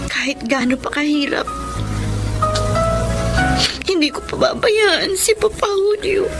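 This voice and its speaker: Young Filipina daughter role: very high voice, distressed, pleading, heartfelt melodrama, music underneath. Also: female voice, expressive, slow pace, exaggerated voice. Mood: solemn, emotional. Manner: emotional, earnest, pleading. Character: devoted, distressed young adult daughter of an ailing stepfather.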